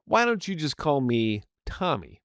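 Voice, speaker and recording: A man's voice: a little high, big pitch swings, a little slow, no echo, clean studio sound. A man voicing a hostile, protective, foul-mouthed inner personality in an audio story, blunt and slangy. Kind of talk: scripted audiobook character acting.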